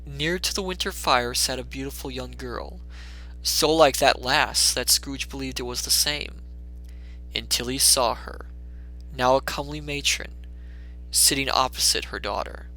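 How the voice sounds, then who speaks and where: A man's voice: mid-range voice, measured pace, sharp tone, soft background music, low-quality recording. A man reading a classic story aloud as a storyteller, in theatrical, scripted dramatic narration.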